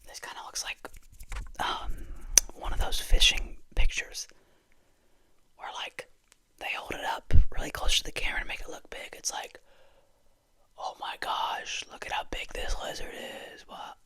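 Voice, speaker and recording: An ASMR host whispering to viewers in a casual, rambling way, with gentle, playful musing. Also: measured pace.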